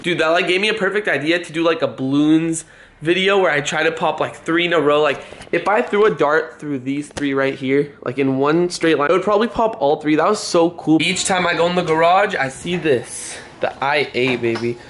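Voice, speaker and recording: A man's voice: a little high, brisk, a bit bright. A young adult American man chatting casually as a vlogger, with youthful, slangy talk. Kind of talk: casual vlog chat. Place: inside a family house. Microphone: handheld camera at arm's length. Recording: clear background noise, handheld vlog camera.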